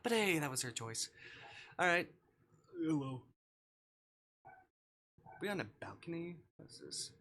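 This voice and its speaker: A man's voice: a little high, expressive, a little slow, long pauses, sharp tone. A man livestreaming a game casually, reading visual novel dialogue aloud with offhand, murmured asides. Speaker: streamer. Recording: clear background noise.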